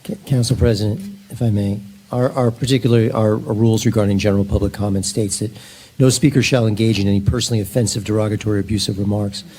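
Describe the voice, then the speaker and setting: A man's voice: fairly low pitch, fairly even intonation, fast, mellow, husky. A man on a town council raising a formal objection under the meeting rules. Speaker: council member. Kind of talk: procedural objection.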